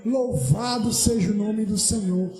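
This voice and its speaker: A man's voice: high voice, measured pace, dark tone. A Brazilian man preaching an impassioned evangelistic sermon, emphatic and given to repeating phrases and exclaiming praise. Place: church hall during evening service. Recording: echoey church hall PA, faint music.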